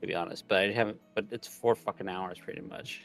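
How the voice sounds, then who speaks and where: Casting: male stream co-host, deeper voice, loose joking delivery over quiet music. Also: fairly even intonation, fast talker, vocal fry. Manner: joking, sweary, needling. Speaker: stream co-host reading the game's lines aloud. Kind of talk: casual banter and reading aloud from a visual novel. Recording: music playing behind the voice.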